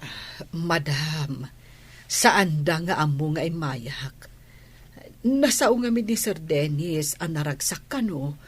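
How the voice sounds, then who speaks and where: A woman playing a gentle, deferential household helper in a radio drama, hesitant and respectful, with a Filipino accent. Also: sits low, animated, takes it slowly, long pauses, breathy edge, full character voice. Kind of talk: Ilocano radio drama acting.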